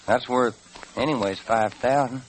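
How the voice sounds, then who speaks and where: A stubborn young rancher in a vintage radio Western, acted by a man. He talks slowly in a middling pitch, on a hissy old recording. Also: natural voice, low-quality recording. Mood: uneasy. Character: stubborn young rancher gambling everything at poker.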